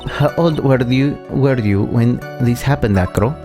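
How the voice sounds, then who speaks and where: A man voicing a haughty, taunting courtroom prosecutor in a video game, deliberate and condescending. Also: medium pitch, over music, close to an everyday voice. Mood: brisk, commanding. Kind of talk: reading game dialogue in character.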